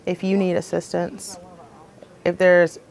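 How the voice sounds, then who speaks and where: A woman's voice: lowish voice, monotone, slow pace, vocal fry, darkish tone, slight background noise. A woman working as an emergency dispatcher, explaining her job in a plain-spoken, earnest way as if interviewed.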